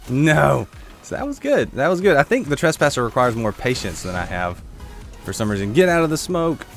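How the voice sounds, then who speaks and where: Man, gameplay commentary, congested throat, throat clearing, animated pitch, music behind. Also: a little fast. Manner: chatty, reacts aloud to the game, answers chat. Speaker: gaming YouTuber.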